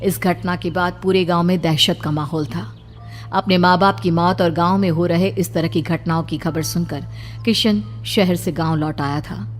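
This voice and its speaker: A woman with a slightly low voice narrates a scripted horror tale dramatically, with faint music underneath. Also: steady tone, moderate pace.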